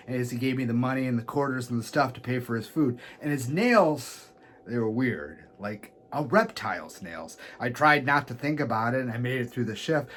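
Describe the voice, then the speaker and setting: A man who works as a pro wrestler, reading listener letters aloud as a show guest. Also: mid-range voice. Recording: noisy background.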